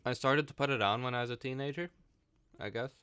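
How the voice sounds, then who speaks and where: A young man from Ireland with a put-on American accent and a deep, resonant voice, chatting casually as a student radio host, jumping between low and high. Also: quick pace, bright, forceful tone, clear, resonant tone, dry recording. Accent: American-sounding, put on since his teens. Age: young adult. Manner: jumps between low and high, rambling with many "like"s. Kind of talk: casual chat.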